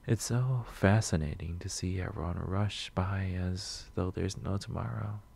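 Man playing a shy, low husky coffee shop regular. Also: unhurried, exaggerated voice. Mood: relaxed, chatty. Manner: gentle, hesitant, rambling. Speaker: roleplay audio performer. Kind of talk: soft-spoken roleplay ASMR.

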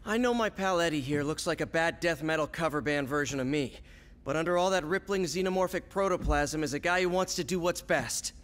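A man acts as a young masked hero in a video game. His voice sits high for a man, with a quippy, earnest, upbeat delivery. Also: fairly quick, fairly bright tone, close to an everyday voice. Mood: earnest, joking. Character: wisecracking, earnest young male masked superhero. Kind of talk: video game cutscene acting.

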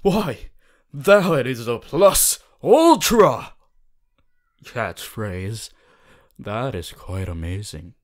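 Man playing a theatrical young superhero student, swinging pitch. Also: sing-song. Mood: earnest, upbeat. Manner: halting, theatrical, breaks into booming heroic declarations. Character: boisterous, cheery young male superhero student. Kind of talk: ASMR audio roleplay to a listener.

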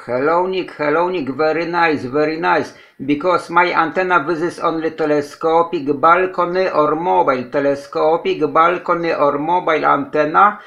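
A man's voice: on the high side, fairly even intonation, moderate pace, vocal fry, few pauses, pushed and bright, dull audio. A man from Poland with a Polish accent, an amateur radio operator giving call signs and signal reports in simple English, repeating short phrases emphatically.

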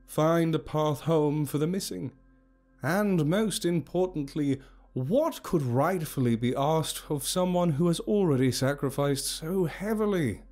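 Storybook elder, played by a male narrator: grand and cheerful, raised pitch, slow ceremonial oratory, music underneath. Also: a little mellow, lightly acted. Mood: solemn, grateful. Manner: slow, oratorical, emphatic delivery. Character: grand, cheerful old leader addressing his gathered tribe.

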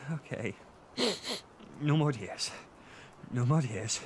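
A man playing an insecure, tearful boyfriend who seeks comfort in an intimate audio roleplay, speaking in a halting, stammering way. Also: mid-range voice, a little hiss or hum. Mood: wistful, tearful. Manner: halting, stammering, with nervous soft laughs. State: emotional, tearful.

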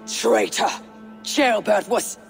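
Stern Viking warrior woman, game drama, gravelly, terse and blunt, faint music. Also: medium pitch, slow pace. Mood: angry. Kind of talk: dramatic video game acting.

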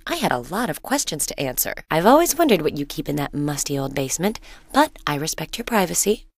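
A woman's voice: on the low side, dry recording, studio quality. An actress voicing a caring, exasperated wife and mother in a video game, speaking expressively and earnestly.

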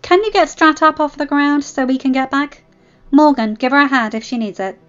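A woman's voice: high voice, normal speed, a bit bright, pitched above the performer's own voice. Young female gang boss for a storybook woman acting it out, tough, firm, slightly muffled recording. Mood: brisk, commanding. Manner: firm, commanding.

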